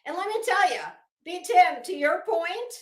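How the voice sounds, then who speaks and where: An American woman hosting a commentary show, reading documents aloud dramatically and adding casual, emphatic asides with drawn-out phrasing. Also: very high-pitched, expressive, roomy sound.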